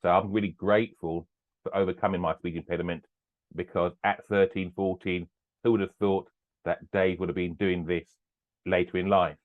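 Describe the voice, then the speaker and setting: A man's voice: sits low, flat delivery, moderate pace. An older man, a business owner and podcast guest, talking earnestly in a casual interview and repeating his phrases. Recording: tinny phone-like line.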